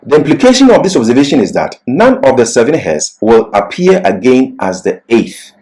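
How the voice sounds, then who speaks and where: A man giving a scripted Bible lecture as a teacher, with deliberate, emphatic phrasing and pauses for explanation. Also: medium pitch, huge pitch swings, warm tone, low-quality recording.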